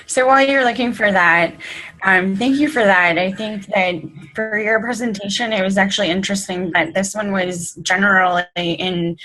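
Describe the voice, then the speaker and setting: Bright-voiced woman moderating a Q&A. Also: mid-range voice, fairly even intonation, moderate pace, low-quality recording. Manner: chatty, many fillers. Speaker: session moderator. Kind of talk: casual Q&A.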